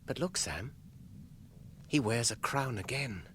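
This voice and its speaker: An actor playing a gentle, weary young hobbit gentleman in a radio drama, speaking with earnest wonder. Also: mid-range voice, lively intonation, slow pace, slight background noise. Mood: quiet wonder.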